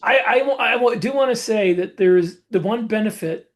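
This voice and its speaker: A man's voice: sits high, normal speed. A man chatting casually as a podcast host, giving advice in a matter-of-fact way. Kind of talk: casual advice chat about book publishing.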